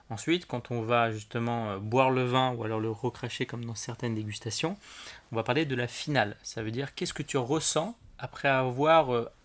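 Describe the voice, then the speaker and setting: A man's voice: medium pitch, fairly bright tone. A young man, a sommelier, explaining wine tasting in an enthusiastic, teaching manner during an interview. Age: young adult. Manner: enthusiastic and didactic, often speeds up. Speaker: sommelier guest.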